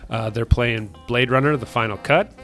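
A man co-hosting a radio show, casually running through listings in a halting, hesitant way. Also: medium pitch, a bit bright, soft background music. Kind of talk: casual chat, reading film listings.

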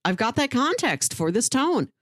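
A woman podcast co-host with a fairly high, very animated voice. She chats casually and pitches ideas in a wry, playful way. The sound is dry and close. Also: normal speed, no echo.

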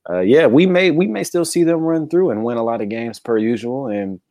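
A man's voice: mid-range voice, lively intonation, a little fast, warm tone, no echo, clean studio sound. A man co-hosting a casual sports chat, talking in a laid-back, slangy, conversational way. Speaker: sports podcast co-host.

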